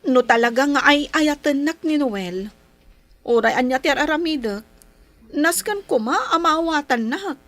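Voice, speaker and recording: A self-centred young Filipino woman in love in a radio drama. Her voice is very high and swings widely in melody. She draws out her lines slowly and dramatically, in a dry, close sound. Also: animated, fairly bright tone, dry recording. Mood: smug.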